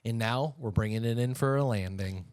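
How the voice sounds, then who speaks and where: A man's voice: mid-range voice, normal speed, a little mellow, dry recording. Dry-sounding man in casual podcast banter. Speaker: podcast co-host. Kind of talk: casual conversational news banter.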